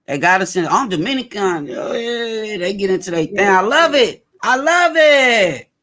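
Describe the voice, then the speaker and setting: A man hosting a loose, opinionated talk show. His voice sits a little high, and he speaks in an animated, emphatic way. He circles back and repeats key phrases to drive them home. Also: moderate pace. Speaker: host.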